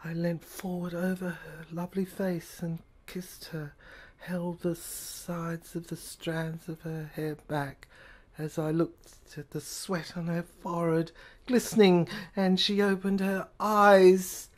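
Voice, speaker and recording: A woman narrating an audio play in an intimate, hushed way, halting phrase by phrase. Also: on the low side, flat delivery.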